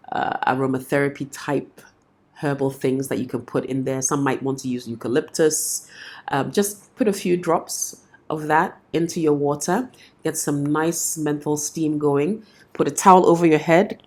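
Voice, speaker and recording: A woman with an unusually deep, low voice explains practical tips as a vocal coach. She has a cold, yet keeps her delivery friendly and clear. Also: a little mellow. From Ghana. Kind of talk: instructional talk to camera.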